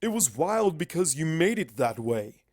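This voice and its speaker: A man's voice: a little high, sing-song, normal speed, a little mellow, dry recording, clean studio sound, pitched above the performer's own voice. A man voicing a blunt, challenging male pony scholar in a fan fiction reading. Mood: insistent, challenging.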